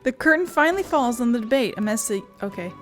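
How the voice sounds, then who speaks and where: A woman's voice: a little high, lively intonation, fast, a bit bright, faint music behind. A woman playing a video game, reading dialogue aloud with casual asides.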